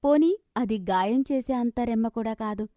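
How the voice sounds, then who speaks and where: A woman's voice: fairly high pitch, moderate pace, mellow, no room sound, clean studio sound. A woman narrating a scripted moral story as a storyteller.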